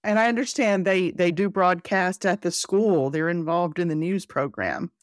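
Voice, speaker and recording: A woman's voice: on the low side, normal speed, no echo, studio quality. A woman working as an interviewer, putting questions to a guest on a podcast. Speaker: interviewer, senior writer.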